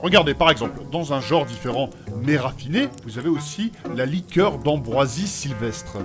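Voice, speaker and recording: A man playing an expressive, animated fantasy adventuring companion in a comedic audio drama. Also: mid-range voice, normal speed, over music, close to an everyday voice. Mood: prim, protesting.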